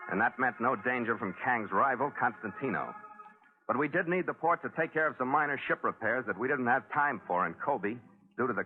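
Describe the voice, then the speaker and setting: A man's voice: mid-range voice, natural voice. An actor playing a worldly sea captain, narrating his voyage in clipped, wry 1940s radio adventure style. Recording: lo-fi vintage 1940s radio recording.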